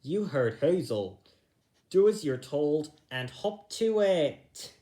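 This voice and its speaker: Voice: mid-range voice, sing-song, takes it slowly, mellow, echoey room. A reader voicing a big, brave, bossy rabbit in a storybook, blunt and commanding. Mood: stern. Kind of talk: storybook character voice.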